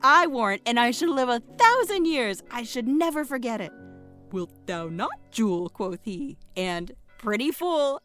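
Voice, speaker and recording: An actress playing a garrulous, bawdy, fond older nurse, rambling theatrically in a Shakespearean audio play. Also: female voice, a little high, expressive, unhurried, fairly bright tone, faint music behind. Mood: rambling, amused. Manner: rambling, theatrical, chuckling storytelling.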